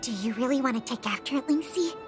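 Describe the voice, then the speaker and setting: An actress voicing a prim, cautious young female intelligence officer in an anime-style game, speaking in an earnest, precise, crisply formal way. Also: high-pitched, lively intonation, fast talker, music in the background. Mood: cautious, serious.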